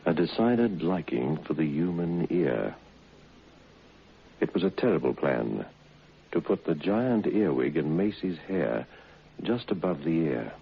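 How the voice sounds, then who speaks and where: A grave storyteller of radio horror, wry and sardonic. His voice is very deep with a creaky edge. The vintage recording carries faint noise. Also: sing-song, a little slow, long pauses, full character voice. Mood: ominous. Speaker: narrator. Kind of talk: dramatic radio narration. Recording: dull, noisy old radio recording.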